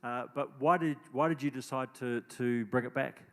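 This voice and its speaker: A man's voice: medium pitch, steady tone, normal speed. A man asking conversational questions as the interviewer at an on-stage event. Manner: conversational, hesitant with fillers. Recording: reverberant hall sound.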